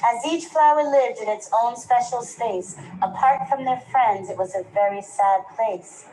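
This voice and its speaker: A woman's voice: a little high, dark tone, poor audio. Woman reading aloud to children, faint noise. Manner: pauses to ask the children questions. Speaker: preschool teacher. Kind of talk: read-aloud of a picture book to young children.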